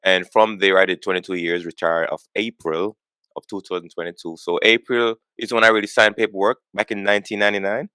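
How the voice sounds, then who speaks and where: A man's voice: deep voice, fairly even intonation, brisk, bright, forceful tone, clear, resonant tone, dry recording. A man in his forties, from Jamaica, talking earnestly as a podcast guest and repeating words as he gets going. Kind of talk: conversational interview.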